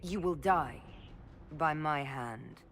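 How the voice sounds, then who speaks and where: A woman playing a resolute, composed leader in a fantasy video game, steady and authoritative. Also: sits low, slow, a little hiss or hum. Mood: stern.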